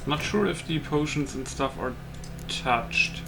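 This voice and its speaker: A man's voice: on the high side, slow, vocal fry. A man giving casual let's play commentary as a gaming host, thinking aloud in a hesitant way. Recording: loud music behind the voice. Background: music.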